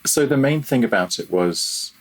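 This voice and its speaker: A man's voice: medium pitch, expressive, moderate pace. A man talking casually as a podcast guest, explaining his work in an informal, rambling way. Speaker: podcast guest, audio programmer. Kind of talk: casual interview chat.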